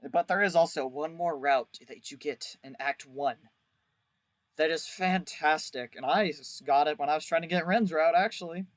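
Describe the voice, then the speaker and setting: A man's voice: on the high side, moderate pace, dry recording. A man giving a casual, rambling video review as host, with a crude, jokey, exaggerated way of talking.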